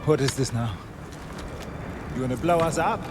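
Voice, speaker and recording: A man's voice: mid-range voice, moderate pace, natural voice. An actor playing a hostile, challenging gunman in a cinematic action game. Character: hostile male gunman confronting a threat. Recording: outdoor ambience noise behind.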